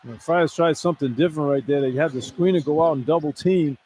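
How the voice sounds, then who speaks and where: Higher-voiced American man analyzing live basketball. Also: fast talker, darkish tone. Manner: informal, explanatory, colloquial. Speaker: radio color analyst. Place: courtside in an indoor arena. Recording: clear background noise, live arena broadcast.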